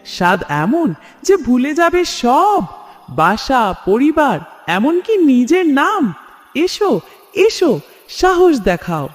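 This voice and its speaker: Man putting on a high, cackling, menacing cartoon witch. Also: sing-song, full character voice. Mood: menacing, luring. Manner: taunting, theatrical, drawn-out laughs. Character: menacing cackling witch who runs a magical biryani shop. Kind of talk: children's cartoon voice acting. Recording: long reverb, music behind.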